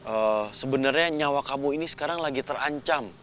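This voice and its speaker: A man's voice: medium pitch, a little fast, fairly bright tone, slight background noise, voice pushed up, natural voice. A man telling a children's story voices a ragged, scheming stranger who speaks in an insistent, confiding way. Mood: ominous confiding. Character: ragged, scheming male stranger who slanders his sister.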